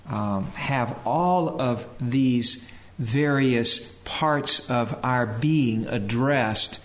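A man speaks slowly at a live talk as a health speaker fielding questions. He moves haltingly, leaving pauses between short phrases so an interpreter can follow. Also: mid-range voice.

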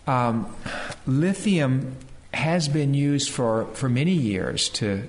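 A man speaks slowly at a live talk as a health speaker fielding questions. He moves haltingly, leaving pauses between short phrases so an interpreter can follow. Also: medium pitch, animated, slight background noise.

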